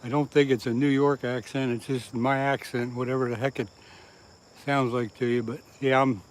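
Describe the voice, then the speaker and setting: A man of middle pitch from southeast Pennsylvania hosts a live fishing stream outdoors by the water. He chats casually, answers viewer comments and laughs at his own jokes. Faint insect noise hums behind him. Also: little pitch movement, moderate pace. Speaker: fishing livestream host.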